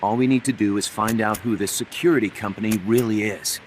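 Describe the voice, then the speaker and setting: An actor playing an earnest, energetic young male mercenary business partner in a video game. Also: fairly low pitch, a little fast, background noise, lightly acted. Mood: persuasive.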